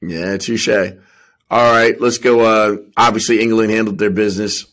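A man's voice: lowish voice, steady tone, a little slow, a bit bright. A man co-hosting a sports podcast, giving emphatic, slangy opinions in casual football chat.

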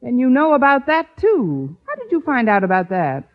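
An actress playing a sensible, wry schoolteacher with dry, measured comic timing in a radio comedy. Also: female voice, on the high side, huge pitch swings. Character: wry, sensible woman high school teacher. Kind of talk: 1950s radio comedy acting. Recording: muffled, noisy old radio recording, loud studio audience.

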